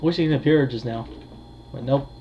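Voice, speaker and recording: Man, halting, on a dull mic. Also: mid-range voice. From United States. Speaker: gamer, video creator. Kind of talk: casual gameplay commentary. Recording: dull lo-fi mic, faint noise.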